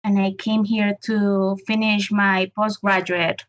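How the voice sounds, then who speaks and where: Colombian-accented woman in her late thirties, a Spanish speaker, being interviewed; thoughtful and self-correcting, medium pitch, slightly dull sound. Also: level tone, takes it slowly. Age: late 30s. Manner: thoughtful, restarts while searching for words. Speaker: guest. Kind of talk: casual interview answers.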